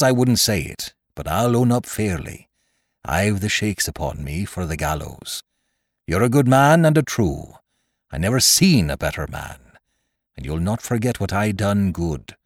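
A man reading aloud and voicing a pleading old male pirate in rough seaman's dialect, with a trembling voice. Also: fairly low pitch, very animated, measured pace, a little mellow, no echo, clean studio sound, heavily acted. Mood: earnest. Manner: wheedling, trembling, earnest.

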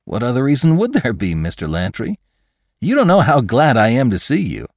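A man's voice: mid-range voice, huge pitch swings, fairly quick, no echo, studio quality, voice pushed up. A man voicing an eager, naive male scientist in a story, effusive and full of exclamation. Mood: eager, gushing.

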